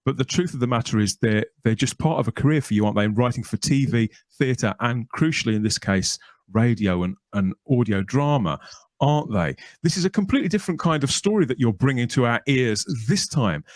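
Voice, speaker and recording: A man's voice: medium pitch, lively intonation, fairly quick, no room sound. Male podcast host, close dry sound. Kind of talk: podcast introduction and interview.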